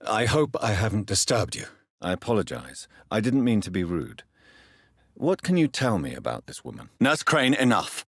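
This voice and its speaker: A man with a British accent playing a grave, formal gentleman vampire doctor in a dramatic video game, husky-voiced and precise in his diction. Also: fairly low pitch, sing-song, normal speed, no echo, studio quality, heavily acted. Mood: polite, reassuring, then stern, menacing.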